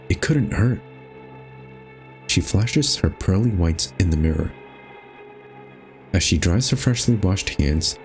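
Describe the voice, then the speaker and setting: A man reading scripted, storybook-style narration for a horror game. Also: low-pitched.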